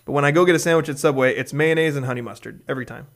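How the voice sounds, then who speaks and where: A man's voice: fairly high pitch, racing. A man from Hawaii hosting a podcast, chatting casually and often laughing while he talks. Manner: chatty, laughs while talking, puts on mock voices. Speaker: podcast host. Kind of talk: casual chat.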